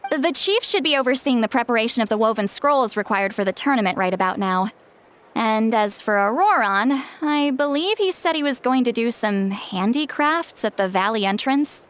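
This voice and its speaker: An actress playing a kindly, helpful tribeswoman in a fantasy video game, gentle and informative. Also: fairly high pitch, expressive, normal speed. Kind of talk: video game character acting.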